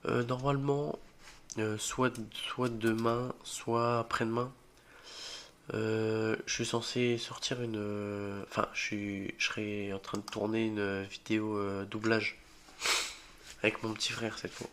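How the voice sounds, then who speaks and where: A young man with a cold, voice a bit rough, joking and getting spooked as he plays a horror game for his channel. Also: fairly low pitch, level tone, slow, fairly bright tone, a little hiss or hum. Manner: jokey, easily spooked, mutters reactions and swears. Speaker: gaming video creator. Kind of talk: solo horror game let's play commentary.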